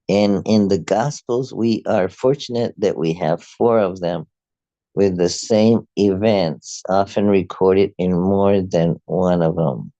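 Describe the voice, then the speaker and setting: A man with an Afrikaans accent, a native Afrikaans speaker, reading a prepared commentary aloud in a measured, halting way. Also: low-pitched, warm tone, no room sound, dull audio. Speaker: video host.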